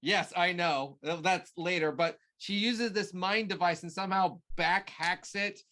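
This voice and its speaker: A man in casual conversation as a co-host, recapping a story in a halting way and searching for words. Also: sits high, sharp tone. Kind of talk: casual chat recapping a TV show.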